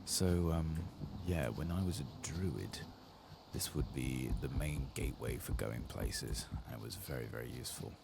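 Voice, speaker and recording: Super low croaky guy doing soft asmr game commentary, hushed n slow, noisy recording. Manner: hushed, unhurried, rambling, sweary. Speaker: game streamer playing a fantasy RPG. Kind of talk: soft-spoken ASMR gameplay commentary with whispering.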